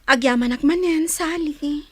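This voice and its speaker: A woman's voice: high voice, unhurried, fairly bright tone, no echo. A woman playing an inquisitive, practical, teasing grown daughter in an Ilocano radio drama, with an Ilocano accent. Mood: earnest.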